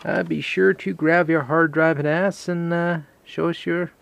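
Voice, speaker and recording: A man's voice: on the high side, little pitch movement, a little slow, gravelly. A man doing a casual, unscripted show-and-tell vlog in a rambling, hesitant, self-deprecating way. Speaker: video game collector, vlogger. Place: a room at home.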